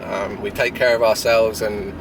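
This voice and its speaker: A man in his thirties talks casually as a travel vlogger. His voice sits fairly low and a little distant from the microphone, with clear engine and road noise around him. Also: flat delivery, normal speed. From Jersey, Channel Islands. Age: 30s. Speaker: travel vlog host. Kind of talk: casual question-and-answer chat while driving. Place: in a vehicle driving outdoors. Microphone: action or small camera in the vehicle. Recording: noisy moving vehicle, voice off the mic.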